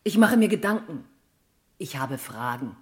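A woman's voice: sits low, very animated, measured pace. An actress playing a determined, suspicious woman in a radio crime drama, urgent and persistent in her manner. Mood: troubled, urgent.

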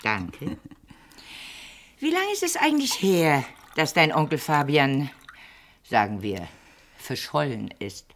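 An imperious older aunt in a vintage radio play. Her voice is low and swings widely in pitch. She speaks deliberately, with dry, calculating sharpness. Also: sing-song, fairly bright tone, a little hiss or hum, heavily acted. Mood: probing. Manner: deliberate, dry, pointed, formal. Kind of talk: 1960s German radio play acting.

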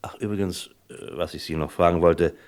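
A shrewd detective played by a male actor in a German radio crime play, with a low voice. He takes his time and probes with a sly, casual air. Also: fairly even intonation, brisk, darkish tone, natural voice. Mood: firm, probing. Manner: probing, slyly casual questioning. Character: shrewd, unhurried male American detective questioning suspects.

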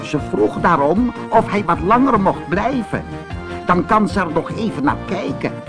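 Man as a high-voiced, prim storybook parrot. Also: animated, a little slow, music in the background. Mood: polite. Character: prim, polite, disapproving parrot. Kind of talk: storybook character voice.

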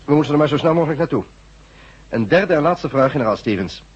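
A male actor playing a brisk, authoritative leader of a ragged rebel band in a science-fiction radio drama, curt and given to cursing. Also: a little high, lively intonation, breathy edge. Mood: businesslike. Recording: muffled old radio drama recording.

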